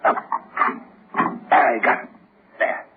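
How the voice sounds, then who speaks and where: An actor playing a scheming, tough male convict who breaks out of prison, in gruff streetwise old-time radio acting. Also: slow pace, natural voice. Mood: hushed. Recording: tinny old radio broadcast with hiss.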